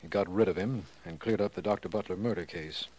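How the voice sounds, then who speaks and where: An actor playing an earnest, impressed district attorney, asking questions in 1940s radio drama style. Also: male voice, deep voice, fast talker, a little mellow, low-quality recording. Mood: earnest, inquiring. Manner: earnest, inquiring.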